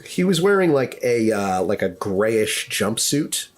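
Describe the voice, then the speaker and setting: Animated male game master. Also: fairly low pitch, unhurried, soft background music. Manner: animated, conversational. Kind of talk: improvised narration of a tabletop game.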